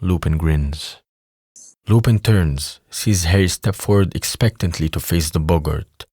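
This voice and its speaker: A man's voice: low voice, measured pace, mellow, husky, no echo, clean studio sound. A man reading scripted scene narration for an audio drama.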